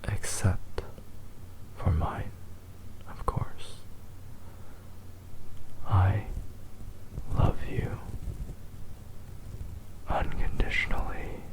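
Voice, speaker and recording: A man playing a possessive, devoted young fox spirit husband in a whispered romantic ASMR roleplay, soft, tender and hesitant. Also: sits low, fairly even intonation, extremely slow, long pauses, rough recording. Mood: musing, intense, then devoted, intense.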